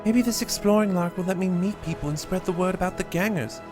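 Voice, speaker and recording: A man's voice: fast talker, music in the background, close to an everyday voice. A man reading aloud, voicing a grieving young man with an earnest, raised voice. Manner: earnest, pitched well above the narration.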